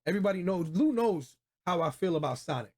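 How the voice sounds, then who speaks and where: Higher-pitched man hosting a casual review. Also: lively intonation, fast talker, a little mellow. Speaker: video review host. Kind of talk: casual movie review chat.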